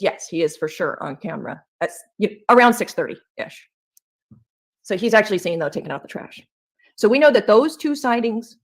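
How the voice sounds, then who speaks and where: Woman, medium pitch, emphatic, conversational, informal true crime commentator, repeats key points.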